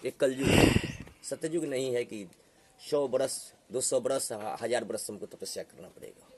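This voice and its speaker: A man's voice: mid-range voice, a little slow, a little mellow. A man with a Bihari accent arguing like a preacher, assertive and admonishing, often putting rhetorical questions. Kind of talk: heated argument, preaching.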